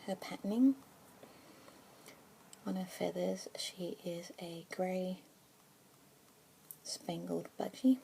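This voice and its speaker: A young woman in a whispered ASMR roleplay as a pet adoption consultant, speaking slowly and gently in a soft unvoiced whisper. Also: on the low side, creaky, slight background noise, poor audio.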